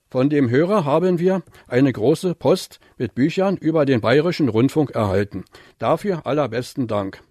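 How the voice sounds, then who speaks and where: A man's voice: mid-range voice, a little mellow, dry recording, studio quality. A man presenting listener mail on radio, reading from a script in a formal, list-like manner.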